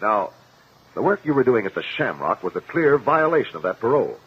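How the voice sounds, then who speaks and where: An actor playing a firm, formal lawyer, probing and commanding, in a 1950s radio courtroom drama. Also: male voice, medium pitch, sing-song, brisk, slightly rough. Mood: pressing. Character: firm, probing lawyer cross-examining a witness. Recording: muffled 1950 radio broadcast.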